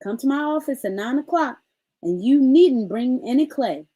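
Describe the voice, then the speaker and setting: A stern, impatient lady examiner from a storybook, voiced by a woman reader. She is curt and in charge, with a mellow timbre and strongly varied pitch. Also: lively intonation, moderate pace, slightly rough. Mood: firm, businesslike.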